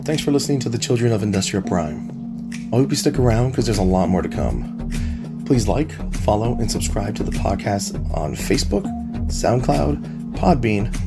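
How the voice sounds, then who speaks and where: Low-voiced man announcing over loud music. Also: big pitch swings, normal speed. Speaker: podcast host. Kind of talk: scripted podcast outro announcement.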